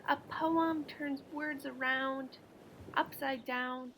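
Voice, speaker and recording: A woman voicing a kindly, thoughtful grandmother in a children's storybook, explaining things in an emphatic, deliberate way. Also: high voice, steady tone, fairly bright tone, a little hiss or hum, voice pushed up, heavily acted. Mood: bright, explaining.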